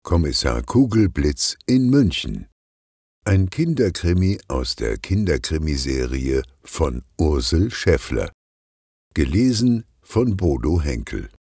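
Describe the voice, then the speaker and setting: A man's voice: extremely low pitch, big pitch swings, takes it slowly, darkish tone, husky, dry recording, studio quality. A man reading a scripted children's detective story as an audiobook narrator.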